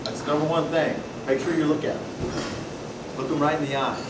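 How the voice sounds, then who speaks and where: A man's voice: fairly high pitch, level tone, fairly quick. Folksy man telling stories to a hall. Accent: US Pacific Northwest. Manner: loud folksy storyteller, asks the room questions. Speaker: presenter at a membership workshop. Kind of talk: lively storytelling talk to an audience. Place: meeting hall with a live audience. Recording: distant, echoey room recording with loud noise.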